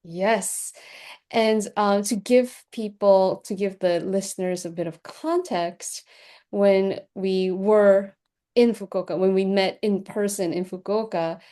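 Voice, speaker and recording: A woman's voice: mid-range voice, fairly even intonation, slow pace, warm tone. A Korean-born woman hosting a podcast interview, chatting enthusiastically with fillers and asides. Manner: enthusiastic, conversational, frequent fillers. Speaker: podcast host and executive coach.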